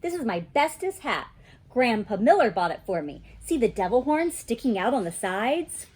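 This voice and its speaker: A woman voicing an outspoken, stubborn five-year-old girl in a children's storybook, with a blunt, childish, expressive delivery. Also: a little high, normal speed, slightly rough. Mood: proud, excited. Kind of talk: children's storybook read aloud.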